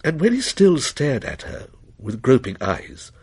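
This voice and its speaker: A man's voice: mid-range voice, wildly swooping pitch, a little slow, a little mellow, husky. A man narrating a ghost story in a dramatic, literary style.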